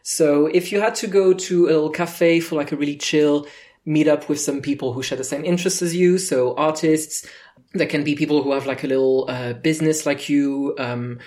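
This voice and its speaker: Low-voiced French-accented woman host. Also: fairly even intonation, moderate pace, mellow. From France. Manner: teasing, playful, scripted opening. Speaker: podcast host. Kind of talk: casual chat.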